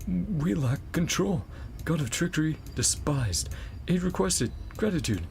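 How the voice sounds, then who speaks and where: A man's voice: on the high side, expressive, measured pace, creaky, voice pushed up. A man voicing a prim, earnest nymph scholar from a video game, talking in a stilted, formal and pedantic way. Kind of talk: reading game dialogue in a put-on voice.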